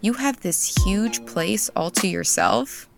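A woman's voice: mid-range voice, slow pace, creaky, over music. A woman playing a laid-back, teasing visitor in a naturalistic audio drama, talking in a casual, offhand way.

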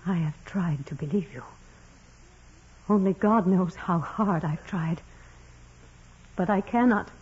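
An actress playing a severe, bitter senior nun in 1940s radio drama style, stern, clipped and intense. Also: female voice, on the low side, slow, pauses often, darkish tone, slightly rough, heavily acted. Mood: cold, accusing. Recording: dull, hazy vintage radio recording.

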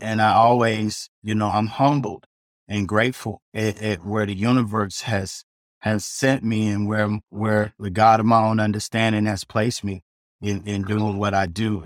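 A man's voice: fairly low pitch, dry recording, clean studio sound. A middle-aged man, a chef, telling his story in a loose, rambling way, full of restarts and "you know" and blunt language. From South Side of Chicago. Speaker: chef guest. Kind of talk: casual storytelling interview.

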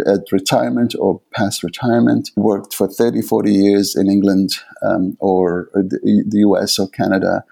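A man's voice: fairly low pitch, vocal fry, warm tone, slightly rough. A man in his fifties from the Middle East, a native Arabic speaker, talking thoughtfully as an author in an interview and often restarting to search for words. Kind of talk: reflective conversational interview.

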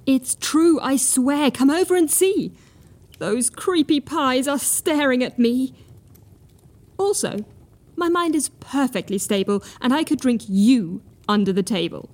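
A high-pitched woman in a comic audio drama, tipsy and panicky. She speaks in rhyme, agitated and insistent that she is perfectly sane. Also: expressive, a little slow, darkish tone. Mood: panicked, defensive.

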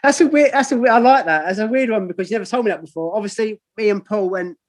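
Fast chatty london guy in his 30s hosting a footy podcast, high-ish voice. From London, England. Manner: chatty, matey, lots of ums. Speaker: podcast host. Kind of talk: casual chat.

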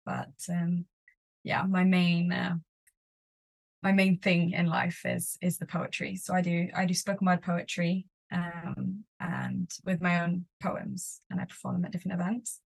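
A woman's voice: fairly low pitch, monotone, measured pace, creaky, rough recording. A young woman from Sweden, a spoken word poet, talking casually as a podcast guest with lots of fillers and restarts. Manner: chatty, lots of "like" and "um", frequent restarts. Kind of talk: casual interview talk.

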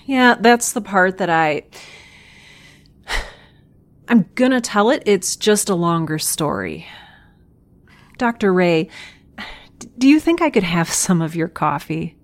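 A woman playing an earnest, defensive scientist in an audio drama, talking in a sincere, halting, wry way. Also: on the low side, expressive. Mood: pleading. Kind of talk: audio drama acting, conversational.

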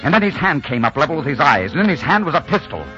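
A man's voice: fairly high pitch, very animated, fast. An actor playing a tough, ardent romantic hero who narrates his adventure in dramatic 1940s radio style. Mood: tense narrating. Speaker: leading man and narrator. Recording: dull 1940s radio recording with music.

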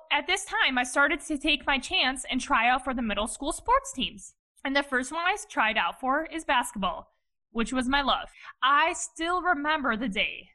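A woman in her thirties from Ohio hosts her own podcast and tells her story in an upbeat, chatty monologue. Her voice sits high and sounds bright, and her talk is peppered with "you know" and "like.". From Cleveland, Ohio, USA. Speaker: podcast host.

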